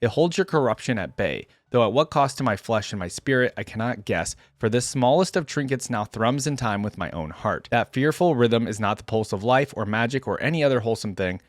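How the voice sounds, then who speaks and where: A man's voice: mid-range voice, animated, no room sound, studio quality, lightly acted. Man reading as a weary, grieving desert warrior. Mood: grim, defiant. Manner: solemn, measured, archaic storybook phrasing. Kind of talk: dramatic first-person story reading.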